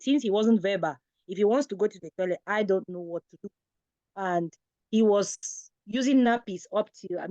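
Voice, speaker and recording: A woman of medium pitch talks as a guest parent, sharing personal stories conversationally. The recording is dry and slightly dull. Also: mellow, dry recording. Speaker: guest parent on a talk show.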